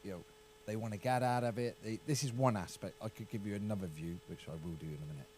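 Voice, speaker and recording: A middle-aged English man with an English accent, a county councillor talking through a political discussion in a halting way, repeating words and hedging. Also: fairly low pitch, darkish tone, a little hiss or hum.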